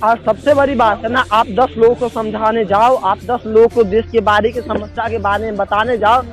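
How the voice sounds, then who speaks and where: A man's voice: high-pitched, fairly even intonation, moderate pace. A man speaking as an activist founder on a phone call, earnest, exhorting and repeating himself. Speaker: movement founder. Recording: live call recording with music behind.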